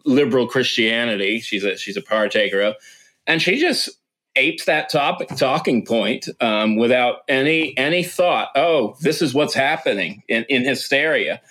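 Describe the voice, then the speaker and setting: A man's voice: medium pitch, expressive, moderate pace, a bit bright. Rambling male host. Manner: rambling, many fillers, frequent "yeah" backchannels. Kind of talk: casual discussion.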